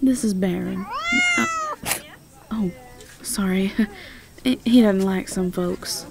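A woman playing a sweet, quick-witted young farm woman with a Southern US accent in an audio roleplay, folksy and teasing. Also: medium pitch, vocal fry, a crowd in the background. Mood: friendly.

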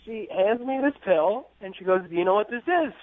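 A man's voice: high voice, expressive, brisk, no echo, narrow, tinny audio. Exasperated male radio phone-in guest, swooping intonation, casual comic storytelling. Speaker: phone-in guest recounting a bad date.

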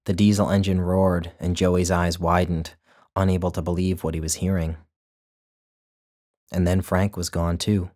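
A man, the author, narrating his own literary short story in a measured, even way. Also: low voice.

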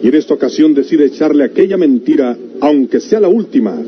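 A man's voice: a little high, lively intonation, a little fast, a little hiss or hum. A man narrating an old radio serial as announcer, in a declamatory, emphatic style. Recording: dull old radio recording. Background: loud music.

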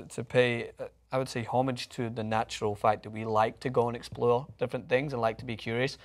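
A young man with a Scottish accent giving his opinions casually as co-host of a studio talk show. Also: mid-range voice. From Scotland. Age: young adult. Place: TV-style studio, indoors.

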